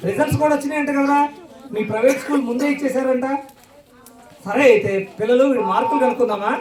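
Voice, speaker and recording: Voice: high voice, fast. A puppet show host bantering live with puppets and children, teasing, questioning and repeating phrases. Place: church hall with children audience. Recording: distant, echoey hall, crowd noise.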